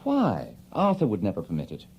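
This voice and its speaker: An actor playing an earnest younger Victorian doctor, emotional and incredulous, in an audio drama. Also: male voice, on the low side, wildly swooping pitch, brisk, creaky, husky, dull audio, exaggerated voice. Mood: shocked.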